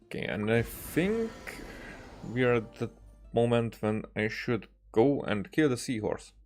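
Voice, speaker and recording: A man's voice: medium pitch, lively intonation, unhurried, a little mellow, soft background music. A man doing casual live game commentary, hesitant and thinking aloud as he goes. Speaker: video game streamer.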